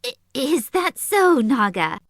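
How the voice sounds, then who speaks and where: A woman's voice: high voice, sing-song, slow pace, no echo, studio quality, heavily acted. A woman playing a petite, short-tempered teenage sorceress in a comedic anime fan audio drama, sarcastic and teasing. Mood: seething.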